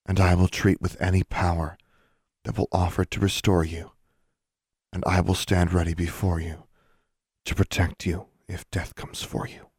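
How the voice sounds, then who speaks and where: A man's voice: low-pitched, little pitch movement, normal speed, vocal fry, darkish tone, husky, no echo, studio quality, full character voice. A man voicing a teenage girl witch who is desperate, defiant and insistent, as in an audiobook. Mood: solemn, incanting. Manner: insistent, challenging.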